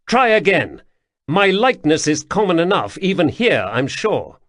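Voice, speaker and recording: An imperious young prince, voiced by a man, commanding and clipped, with an animated swing in his delivery. The sound is dry and close. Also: high-pitched, moderate pace, no echo, voice pushed up.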